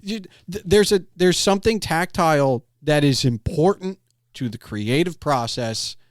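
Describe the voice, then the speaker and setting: Man chatting casually, slightly high voice, close dry sound. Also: animated, measured pace, no room sound. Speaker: podcast host interviewing a guest. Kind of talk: casual conversational chat.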